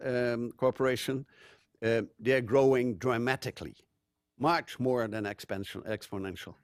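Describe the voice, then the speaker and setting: Older man, Dutch accent, conference speaker, dry close sound, unhurried with fillers. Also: mid-range voice, a little mellow, no echo. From Netherlands. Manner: measured, loose phrasing with frequent fillers. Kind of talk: conference talk with slides. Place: conference room.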